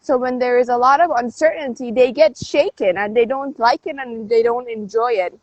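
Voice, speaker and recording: A high-voiced woman who grew up in Canada speaks as a guest on a phone livestream. She is upbeat and motivational and swings into emphatic lifts. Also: moderate pace, no echo, dull audio. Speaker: guest, DJ and life coach. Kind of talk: livestream interview.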